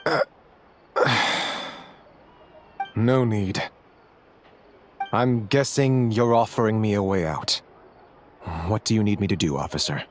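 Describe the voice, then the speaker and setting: A man's voice: fairly low pitch, slow, pauses often, a little hiss or hum. A man voicing a desperate, nervous clerk in a dramatic video game scene, anxious and faltering. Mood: resigned. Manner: anxious, stammering, pleading. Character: desperate, nervous male clerk in money trouble.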